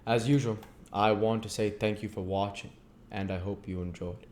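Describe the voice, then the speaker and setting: A male narrator with a low voice reads literary prose aloud. He delivers it in an audiobook style as the host of the reading. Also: fairly even intonation, moderate pace, darkish tone, a little hiss or hum.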